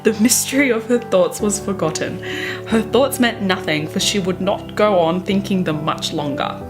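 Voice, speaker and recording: A young adult woman with a theatrical, expressive way of talking, doing dramatic readings and chatty commentary to camera in a bedroom. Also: medium pitch, moderate pace, over music. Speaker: book vlogger. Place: small bedroom, indoors.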